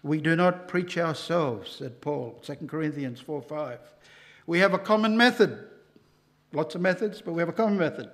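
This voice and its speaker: An older man preaching a sermon at a church lectern microphone, emphatic and rising loud on key lines. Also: fairly high pitch, animated, moderate pace.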